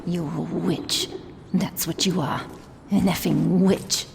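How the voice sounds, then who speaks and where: A woman's voice: on the low side, fairly even intonation, slow, darkish tone, exaggerated voice. A woman voicing a cocky, foul-mouthed young street punk girl in a comic dub, slangy and insolent, with a London accent. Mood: accusing. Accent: British, London. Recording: clearly noisy background.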